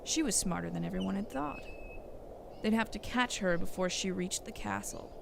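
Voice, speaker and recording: A woman's voice: medium pitch, moderate pace, gravelly. A woman reading scripted storybook narration for an audio drama, on a noisy recording.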